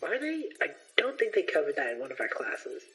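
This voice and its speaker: A woman playing an excitable young male student adventurer, breezy and showy, in an audio drama. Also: sits low, brisk, slightly rough, faint music behind, heavily acted, rough recording. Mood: breezy, unbothered.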